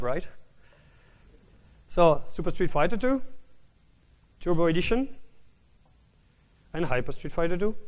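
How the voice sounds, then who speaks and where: A man with a fairly high voice speaks from the stage at a technical conference. His delivery is flat and self-described as bored, and he often stumbles and restarts his words mid-sentence.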